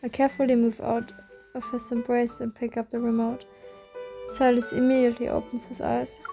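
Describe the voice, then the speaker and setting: A woman reading a game's story aloud in a steady first-person voice. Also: fairly high pitch, fairly quick, vocal fry, faint music behind. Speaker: reader.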